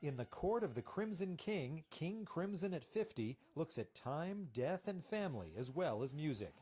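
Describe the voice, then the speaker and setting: Lively-voiced male entertainment reporter. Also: on the high side, normal speed. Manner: lively, expressive reporter delivery. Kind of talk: scripted news report.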